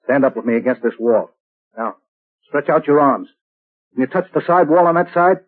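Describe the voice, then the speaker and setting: A man's voice: on the high side, animated, normal speed. A man playing a ruthless scheming crime boss in a 1930s radio drama, menacing and sneering, on a tinny old broadcast recording.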